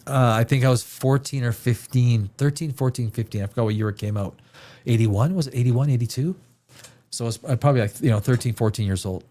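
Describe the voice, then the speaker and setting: A man in his fifties with a medium-pitched voice hosts a casual livestream. He talks in a loose, rambling way with firm opinions. He leans on key words and repeats phrases to make them land. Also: fairly quick, dark tone. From Canada. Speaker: livestream host. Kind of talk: casual film chat, rambling commentary.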